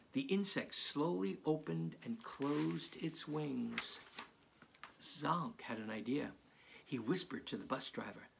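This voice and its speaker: A man reading aloud as a children's author, in an animated storybook style. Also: on the high side, creaky, a little hiss or hum.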